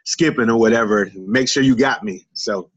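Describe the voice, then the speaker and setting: Friendly, joking male co-host, mid-pitched, heard over dull, muffled call audio. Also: moderate pace. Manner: joking, friendly, stumbles on words. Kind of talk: casual chat on an online call.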